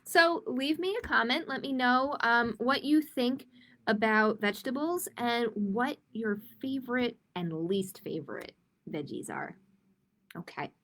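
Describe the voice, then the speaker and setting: Female storytime host, higher-pitched, expressive delivery for young children and parents, reading aloud and chatting informally. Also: measured pace. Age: adult. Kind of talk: reading picture books aloud with informal asides to viewers. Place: live-streamed online storytime.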